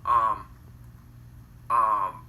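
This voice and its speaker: A man's voice: on the low side, fairly even intonation, drawn-out words, bright, forceful tone, roomy sound, far from the mic. A man in his thirties from Connecticut, telling his story in a rambling, casual way full of slang. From Connecticut, USA. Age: 30s. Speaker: guest. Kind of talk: casual interview.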